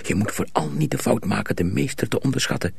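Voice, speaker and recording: A man acting in a radio drama as a calm, secretive friend and mentor, quietly cautioning and reassuring. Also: mid-range voice, quick pace, creaky, husky, no echo, exaggerated voice.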